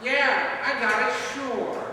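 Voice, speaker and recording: A man playing a hapless, wry tough guy in a detective radio play, speaking in a halting way. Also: very high voice, steady tone, sharp tone, exaggerated voice. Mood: exasperated. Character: hapless, wry hardboiled private-eye type named Nick. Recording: echoey hall, distant mic, faint noise.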